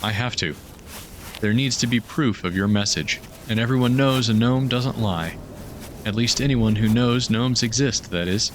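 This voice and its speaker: A man's voice: moderate pace, lightly acted. A man playing a gallant, resolute military commander in a fantasy audio drama, speaking in a formal, commanding manner. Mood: brisk, resolute. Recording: loud mechanical rumble behind.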